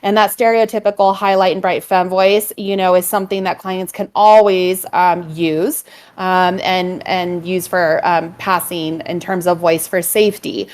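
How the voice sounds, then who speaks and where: A woman voice coach from California with a little vocal fry, explaining vocal technique at length in a casual conversation, with frequent "um"s. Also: lowish voice, steady tone, unhurried, a little mellow. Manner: fluent, explanatory, many "um"s.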